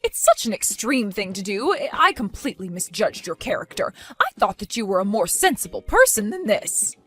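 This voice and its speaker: A woman's voice: mid-range voice, very animated, moderate pace, a bit bright, breathy edge, faint music behind. A woman playing a furious scolding older woman. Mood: indignant. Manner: accusing, scolding. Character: furious indignant older woman caring for a baby.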